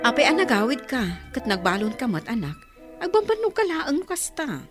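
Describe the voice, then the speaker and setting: A woman's voice: sits high, very animated, moderate pace, loud music behind the voice. Woman acting a humble, long-suffering village mother. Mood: motherly, concerned. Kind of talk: Ilocano radio comedy-drama acting.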